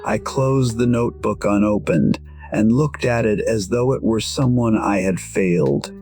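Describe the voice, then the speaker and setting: A man voicing a weary, proud musician in his sixties, narrating an audio drama in a measured, reflective way. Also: lowish voice, a little mellow, soft background music, natural voice. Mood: quiet regret. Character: weary, proud 62-year-old male musician, quietly regretful. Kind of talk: first-person scripted narration, audio drama.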